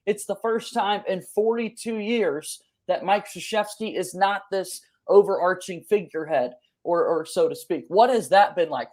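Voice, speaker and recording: A man's voice: high-pitched, a little mellow. An enthusiastic man hosting a sports podcast and interviewing his guest in a conversational way. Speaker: podcast host.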